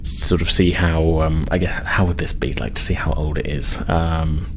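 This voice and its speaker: A man narrating a tabletop role-playing game as game master, casual and halting as he explains. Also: rumbling low, fairly even intonation, a little hiss or hum.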